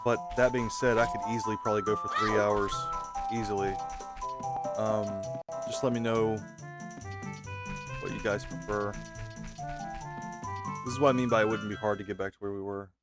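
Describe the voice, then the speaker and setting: A man's voice: fairly low pitch, steady tone, moderate pace, pauses often. A man casually chatting as he plays through a video game, rambling with lots of fillers and talking straight to his viewers. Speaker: gaming video host. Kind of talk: casual let's-play commentary. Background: game music.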